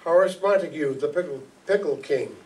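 A man's voice: on the high side, animated, normal speed. An older man reading a scripted introduction to a radio play as its announcer, on stage in a hall. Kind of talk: scripted introduction read aloud. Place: stage of a community meeting hall. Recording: distant mic, faint room noise.

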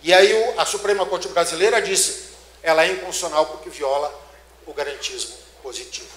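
A man's voice: fairly high pitch, a little fast, a bit bright. A middle-aged Brazilian man giving a law lecture on stage, emphatic and full of rhetorical questions to the audience. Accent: Brazilian Portuguese. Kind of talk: conference lecture. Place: large dark auditorium, on stage. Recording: echoey auditorium.

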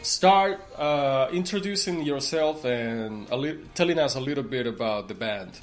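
A man hosting a music interview show, speaking effusively with halting phrasing. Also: mid-range voice, lively intonation. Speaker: radio talk show host. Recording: music playing behind the voice. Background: music with guitar.

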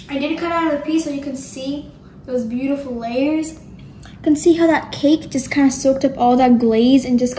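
Bubbly teen girl with a high voice. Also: a little mellow, a little hiss or hum. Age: teens. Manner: bubbly, chatty, enthusiastic. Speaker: cooking show host. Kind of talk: casual cooking demo. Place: home kitchen, indoors. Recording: echoey kitchen room.

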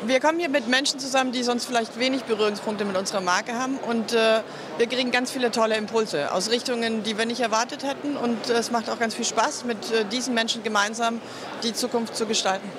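A woman's voice: on the high side, fairly even intonation, fast talker, noisy background. Upbeat woman answering interview questions. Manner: upbeat and enthusiastic, with frequent hesitation fillers. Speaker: marketing manager interviewee. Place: busy indoor convention venue. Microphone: reporter's handheld microphone.

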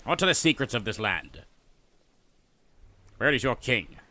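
A man's voice: medium pitch, sing-song, unhurried, sharp tone. A man voicing a pompous, boastful medieval English knight and sea captain for a cartoon, with grandiose, archaic, declamatory delivery. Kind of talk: theatrical cartoon character acting.

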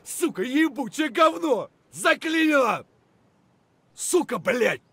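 An actor playing an aggressive, cursing Russian soldier in a military shooter game, with a rough, husky voice. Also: male voice, very high-pitched, sing-song, takes it slowly, bright, forceful tone, no echo, heavily acted. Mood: urgent, frustrated. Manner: barking, cursing. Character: aggressive, foul-mouthed Russian male soldier in combat.

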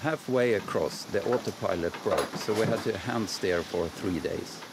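A man's voice: on the low side, moderate pace, very noisy. A middle-aged man with a Swedish accent, a native Swedish speaker, narrating a travel story in English from a script. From Swedish mountains. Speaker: sailor and vlogger. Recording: voice-over with music behind. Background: music.

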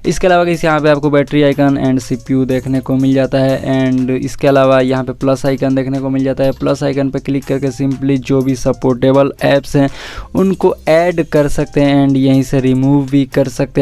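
A man's voice: mid-range voice, level tone, moderate pace, mellow, clean tone, soft background music. An Indian man giving a casual phone tutorial as a tech video host, mixing in English words and addressing viewers as guys.

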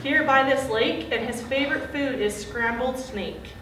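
A woman's voice: sits high, measured pace, sharp tone. Woman playing a bold little storybook mouse, echoey. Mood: cheerful, sly. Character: small brown mouse, bold, cunning and boastful. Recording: very echoey room, distant mic, faint noise.

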